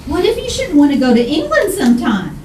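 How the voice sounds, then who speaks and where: A woman's voice: sits high, huge pitch swings, brisk, a little mellow. A woman playing a good-natured, dim-witted household helper who rambles earnestly and literally in a 1940s-style radio comedy. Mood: rambling, amused. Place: theater stage before an audience. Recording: echoey live stage, distant mic, noise.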